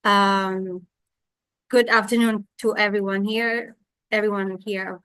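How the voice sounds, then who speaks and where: A woman lecturer gives a scientific talk over a video call, hesitating often with fillers and restarts. Her voice sits at a middle pitch, and the sound is dry with no room echo. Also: little pitch movement, takes it slowly, fairly bright tone, clear, resonant tone. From Serbia. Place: home in Thailand during monsoon rain.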